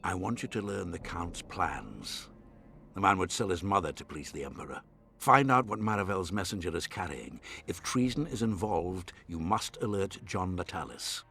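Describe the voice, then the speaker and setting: An actor playing a haughty, scheming nobleman in a video game, speaking in a formal, imperious and measured manner. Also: sits low, lively intonation, gravelly, sharp tone, soft background music, heavily acted. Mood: measured, conspiratorial.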